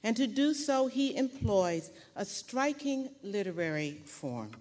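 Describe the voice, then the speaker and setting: A woman presenting a book award, reading a formal prepared speech in a measured, literary manner. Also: fairly high pitch, very animated, a little mellow. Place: large hall at an award ceremony. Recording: echoey hall reverb.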